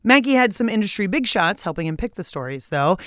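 A woman's voice: medium pitch, sing-song, fairly quick, a bit bright, dry recording, studio quality. A woman hosting a radio show, reading chatty scripted narration with a playful, cheeky manner. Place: radio studio.

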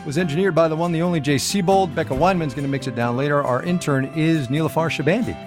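A man's voice: a little high, fast, over music. Jokey man podcast co-host. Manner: jokey, animated, self-mocking. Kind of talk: casual chat and credits.

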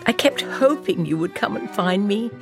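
A woman voicing a small, shy winged monster with a small, soft voice, in a storybook reading. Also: mid-range voice, animated, moderate pace, over music, natural voice. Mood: timid.

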